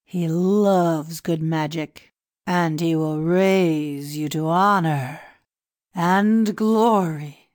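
A pompous, scheming Egyptian priest who speaks slowly and with grand ceremony. A woman voices him in a lowish, unhurried voice. The recording is very dry and close. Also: a little mellow, dry recording. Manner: grand, confiding, measured. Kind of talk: audiobook dialogue reading.

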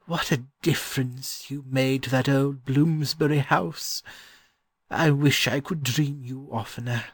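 A man voicing a gentle, wistful old scholar in an audiobook, musing fondly and haltingly. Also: mid-range voice, fairly even intonation, no echo, studio quality, pitched above the performer's own voice, close to an everyday voice. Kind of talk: audiobook character voice.

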